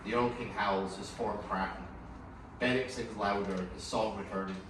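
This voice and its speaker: A man's voice: on the low side, steady tone, moderate pace. A man narrating scene directions from a film script at a table read, in a dramatic scripted style. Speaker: narrator reading stage directions. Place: echoey room at a group table read. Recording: very echoey, distant, noisy room.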